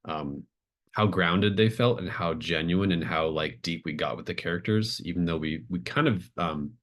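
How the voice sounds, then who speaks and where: Guy game master with a low voice, just chatting casually after the game. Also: normal speed, fairly bright tone. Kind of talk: casual post-game chat.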